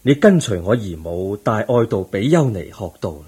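An actor playing a calm, compassionate Buddha in a Cantonese radio drama, patient and solemn, with a Hong Kong accent. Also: male voice, on the low side, moderate pace, breathy edge, exaggerated voice. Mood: patient, reassuring.

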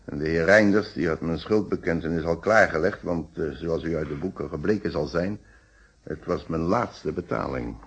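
Low, husky, hesitant witness. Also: male voice, normal speed, highs cut off. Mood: polite, careful. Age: middle-aged. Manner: hesitant, polite, careful. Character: hesitant, decent married man being questioned as witness. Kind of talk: Dutch radio play acting.

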